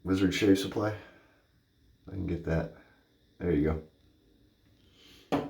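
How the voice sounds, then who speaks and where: A man's voice: low-pitched, steady tone, takes it slowly, vocal fry, a little mellow. A man in his thirties chatting casually to camera in a small home bathroom, goofy and hyper, often correcting himself. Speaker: shaving vlogger. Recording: echoey bathroom.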